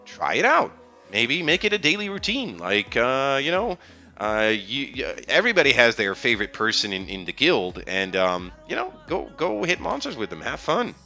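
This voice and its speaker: A man's voice: mid-range voice, wildly swooping pitch, moderate pace, sharp tone, faint music behind. A man speaking English, giving casual, rambling game advice on a live stream with many fillers and restarts. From Netherlands. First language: Dutch.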